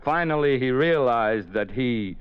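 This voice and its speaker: A man's voice: mid-range voice, expressive, measured pace, clear, resonant tone, lightly acted. A man playing a sinister writer who toys with a frightened woman at gunpoint, in a 1940s radio drama, on a dull old lo-fi recording. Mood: brooding. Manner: sinister, toying, swings from soft to sudden shouts. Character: menacing male writer holding a woman at gunpoint.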